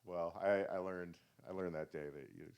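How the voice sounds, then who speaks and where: A man in casual conversation, telling anecdotes in a halting, sweary way and often breaking into laughter. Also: low voice, fairly even intonation, clear, resonant tone, lo-fi sound.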